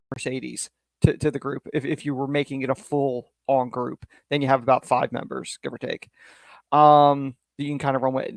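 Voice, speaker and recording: A man's voice: mid-range voice, gravelly, fairly bright tone, dry recording. A man co-hosting a casual wrestling podcast, thinking aloud in an earnest way, with frequent fillers. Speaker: podcast co-host. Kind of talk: casual opinion chat.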